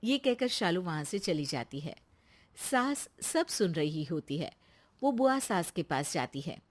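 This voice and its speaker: A woman's voice: on the low side, sing-song, measured pace. A woman narrating a scripted family moral story.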